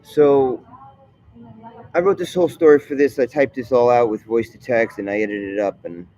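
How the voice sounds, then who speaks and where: A man talking in a casual vlog monologue, rambling and digressive, often halting mid-thought. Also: medium pitch, mellow, slight background noise. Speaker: vlogger.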